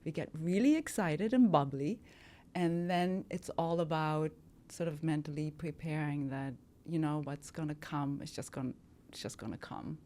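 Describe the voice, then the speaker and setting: A woman's voice: low-pitched, moderate pace, mellow. Reflective woman in her fifties, from Germany. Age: 50s. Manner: reflective, hesitant, with many fillers. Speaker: guest model. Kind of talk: casual interview talk.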